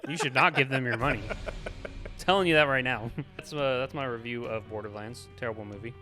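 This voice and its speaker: A man's voice: medium pitch, brisk, bright, forceful tone, soft background music. A man chatting casually and bluntly, giving a scornful, opinionated film review as a show host. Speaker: movie review podcast host.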